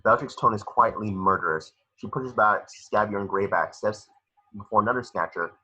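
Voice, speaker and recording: A man narrating stage directions for a script table read, in measured, dramatic short phrases. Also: on the low side, sharp tone, breathy edge, poor audio.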